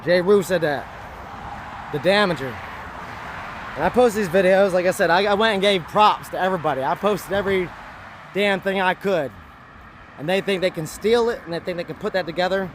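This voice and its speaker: A fairly high-voiced man speaks hoarsely, rambling and circling back on himself as he preaches to his camera. Faint traffic hums outdoors behind him. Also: fairly quick, long pauses. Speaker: vlogger. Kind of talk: casual rambling monologue to camera.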